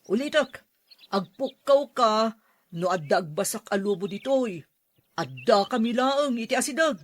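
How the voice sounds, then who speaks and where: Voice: medium pitch, lightly acted. An actor playing a protective working miner, a man, in a Filipino audio drama. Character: friendly working male miner, protective of a boy.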